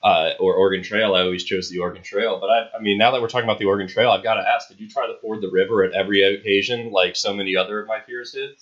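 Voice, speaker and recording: A male podcast co-host rattles off his questions quickly, chatty and joking with the guest. The recording sounds slightly dull and lo-fi. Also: fairly low pitch, steady tone, a bit bright. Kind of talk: casual podcast interview.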